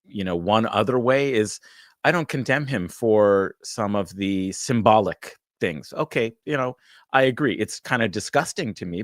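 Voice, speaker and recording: A man moderating a livestream panel discussion, talking in a halting way with many fillers and restarts. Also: medium pitch, no echo, studio quality.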